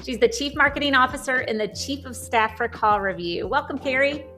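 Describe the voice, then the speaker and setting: A woman's voice: high voice, a little fast, sharp tone, faint music behind. A woman hosting an interview show in a prepared, businesslike format. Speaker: host, magazine publisher.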